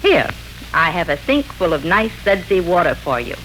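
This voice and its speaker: A woman's voice: on the low side, very animated, moderate pace, a bit bright, soft background music, poor audio. An actress playing a patient, instructive mother guiding her son in a 1940s radio drama.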